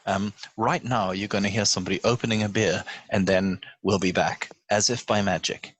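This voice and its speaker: A man's voice: on the low side, steady tone, normal speed, fairly bright tone, slight background noise. A man hosting a casual podcast chat in a playful, jokey way. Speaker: podcast host.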